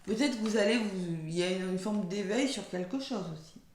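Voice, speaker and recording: A French woman who is losing her voice, speaking softly and hoarsely as she gives a weekly tarot card reading, slowing down when reading cards aloud. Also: medium pitch, echoey room. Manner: soft-spoken, slow and measured when reading cards aloud.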